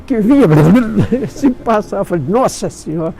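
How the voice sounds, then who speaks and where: A man's voice: sits high, animated, moderate pace, gravelly, dark tone. An older man from the south of Minas Gerais, a retired doctor in his eighties, telling his life story in a folksy way with chuckles. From south of Minas Gerais, Brazil.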